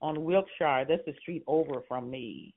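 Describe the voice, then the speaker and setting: A man's voice: fairly high pitch, a little slow. Hesitant man in his sixties on a phone line. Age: 60s. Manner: hesitant, rambling with many fillers and short laughs. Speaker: homeowner on a phone call.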